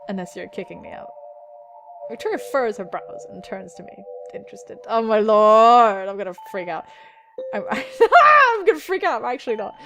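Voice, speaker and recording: An excitable, giggly young woman reading a visual novel aloud and adding dramatic, sweary commentary as a gaming host. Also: fairly high pitch, moderate pace, creaky, slight background noise. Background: music.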